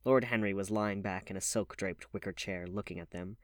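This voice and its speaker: A man's voice: low-pitched, steady tone, fairly quick, dry recording, clean studio sound. A man reading literary fiction aloud as a scripted narration. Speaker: audiobook reader.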